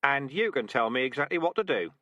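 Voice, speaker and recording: A cocky veteran rat with a dry, needling wit, voiced by a man. His voice is bright and forceful, and it swings around a lot in pitch. It sounds close and dry, as if it is right on the mic. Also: big pitch swings, fast talker, no echo, dull audio. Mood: teasing. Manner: dry, sardonic, teasing. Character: cocky veteran male rat trap expert.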